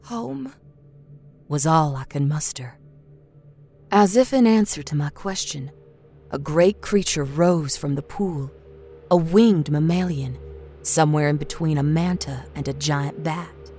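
A woman's voice: low-pitched, unhurried, warm tone. A woman reading a scripted story as a dramatic storyteller. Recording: faint music bed.

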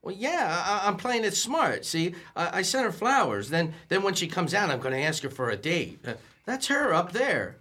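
A man plays a lovestruck young fellow in an old-time radio comedy. He is bright and very animated in pitch, stammering through slangy boasts with naive nervousness. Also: normal speed, hardly any pauses, exaggerated voice. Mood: nervous, hopeful. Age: young adult. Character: nervous, lovestruck, boastful twenty-one-year-old suitor.

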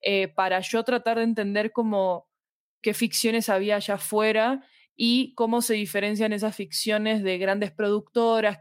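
A woman's voice: medium pitch, no room sound, clean studio sound. A woman in a casual interview, thinking aloud and carefully qualifying what she says. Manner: thoughtful, hedging, self-qualifying. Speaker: podcast guest, fiction editorial manager.

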